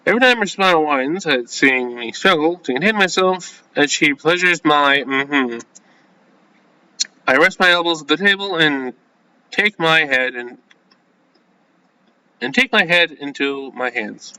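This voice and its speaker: A man hosting a gaming video, reading a visual novel aloud and breaking off into flustered, halting, slangy reactions. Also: on the high side, expressive, pushed and bright, smooth, poor audio. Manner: flustered, halting, self-censoring with casual slang.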